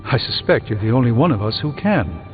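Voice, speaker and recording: An actor playing a learned, dry-witted sorcerer superhero in a video game, speaking in a measured, articulate way. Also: male voice, medium pitch, sing-song, natural voice. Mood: pensive, grave. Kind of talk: video game dialogue acting. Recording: muffled thin band, reverberant, music behind.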